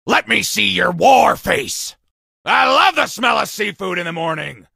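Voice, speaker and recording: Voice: mid-range voice, lively intonation, unhurried, vocal fry, bright, forceful tone, breathy edge, dry recording, studio quality, heavily acted. An actor voicing a small, hot-headed shrimp soldier for a video game, barking cocky drill-sergeant bluster with theatrical bravado. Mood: fired up. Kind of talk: video game character voice lines.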